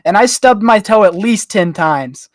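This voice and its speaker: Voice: mid-range voice, lively intonation, measured pace, dry recording. Joking podcast panelist, dry close sound. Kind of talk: casual, joking group chat.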